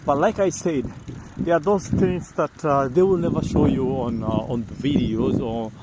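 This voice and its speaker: A man with a Kenyan accent hosts a casual vlog outdoors. He speaks haltingly at a medium pitch, often repeating his phrases. Loud ambient noise runs all through the recording. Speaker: vlogger, host. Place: outdoors on a bicycle along village paths.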